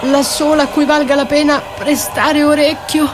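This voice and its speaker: An actress playing a battered, dreamy and desperate wife in a poetic theatrical radio monologue, halting and repeating her words. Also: high voice, level tone, very noisy, lightly acted. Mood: pensive, prayerful. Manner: halting, repeating words, swinging from murmur to cries. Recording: music under the voice. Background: music.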